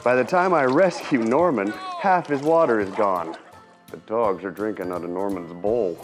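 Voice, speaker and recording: A man reading a picture book aloud to children in an expressive storytelling style, like a teacher acting out the dialogue. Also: on the low side, normal speed, faint music behind. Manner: expressive, acts out dialogue and sound effects.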